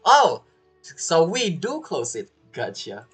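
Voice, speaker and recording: Young male streamer, casual game commentary, joking, highly animated pitch, soft background music. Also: slow pace. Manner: joking, playful, reacting aloud to the game. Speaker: gaming streamer.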